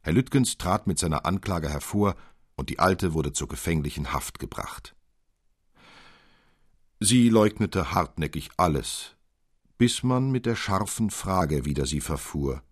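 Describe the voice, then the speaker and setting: A man narrating a literary tale in an expressive, deliberate, old-fashioned storytelling manner. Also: low voice, slightly rough, no echo, studio quality. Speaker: audiobook narrator.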